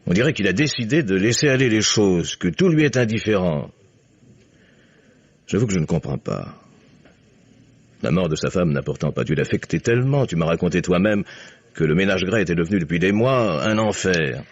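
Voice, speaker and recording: A very low, rough-edged voice belongs to an older man, a skeptical lawyer father. He speaks in a measured, sardonic way typical of old radio drama. The sound is close, dry and muffled. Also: expressive, no echo, exaggerated voice. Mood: grave, resigned. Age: middle-aged or older. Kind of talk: 1950s French radio drama acting. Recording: dull, dry vintage radio recording.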